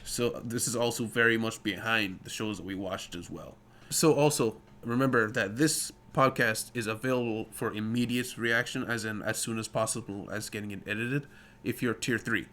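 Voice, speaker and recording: A man's voice: fairly low pitch, fairly even intonation, normal speed, sharp tone. A man hosting a casual podcast, chatty and teasing as he wraps up the episode. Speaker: podcast host. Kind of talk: casual podcast chat and sign-off.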